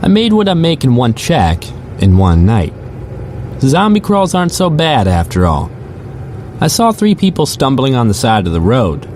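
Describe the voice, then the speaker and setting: A man's voice: mid-range voice, huge pitch swings, moderate pace, darkish tone, slight background noise. A man playing a chatty, sardonic rideshare driver, telling his story in the first person for an audio drama. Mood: casual storytelling. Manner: casual, sardonic, conversational. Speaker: narrator and lead character.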